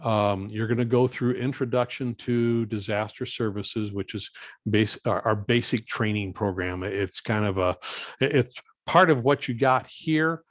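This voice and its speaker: A low-pitched man answers questions as a guest presenter. He is plain-spoken and halting, working through his explanation step by step, and the sound is close and dry. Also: dry recording. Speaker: guest presenter and volunteer coordinator. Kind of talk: informal question-and-answer after a talk.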